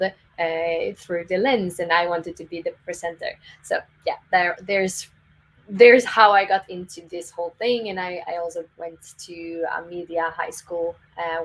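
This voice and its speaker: A woman's voice: lowish voice, a bit bright, poor audio. A Swedish woman with a Swedish accent, a videographer guest in a casual interview, upbeat and full of fillers. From Sweden. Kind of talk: casual interview on a live stream.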